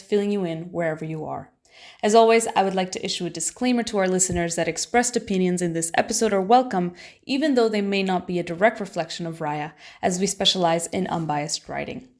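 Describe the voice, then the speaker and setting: A young woman hosting a podcast who reads her introduction from a script and then puts questions to her guests. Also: medium pitch. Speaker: podcast host.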